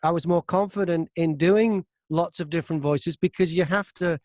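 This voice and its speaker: British man, higher-pitched voice, telling anecdotes. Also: moderate pace, darkish tone, dry recording, studio quality. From Britain. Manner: chatty, self-deprecating storyteller. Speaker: voice-over artist and former radio presenter being interviewed. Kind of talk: casual anecdotal interview chat.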